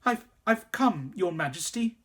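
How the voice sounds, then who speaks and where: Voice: medium pitch, animated, unhurried, voice pushed up. A storyteller voicing a frightened young boy who stammers and pleads. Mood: eager.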